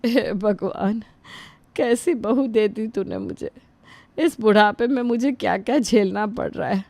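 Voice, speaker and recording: A woman's voice: mid-range voice, very animated, measured pace, creaky, dark tone, dry recording, full character voice. A woman playing a complaining old mother-in-law. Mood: aggrieved. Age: older. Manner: wounded, scolding, sighing to God. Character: aging mother-in-law, put-upon and complaining. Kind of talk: Hindi family drama voice acting.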